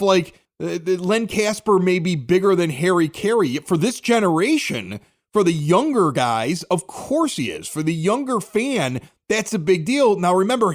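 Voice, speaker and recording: An American man hosting a podcast, talking sports in a casual, chatty, conversational way. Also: high voice. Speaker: podcast host, former morning radio host.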